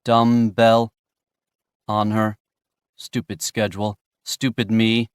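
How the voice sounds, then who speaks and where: A stubborn young man who cannot speak voiced by a male narrator thinks aloud in a flat, slow way. His voice is smooth and clear. The audiobook sound is close and dry. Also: on the low side, dry recording. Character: nonspeaking stubborn young man's inner voice.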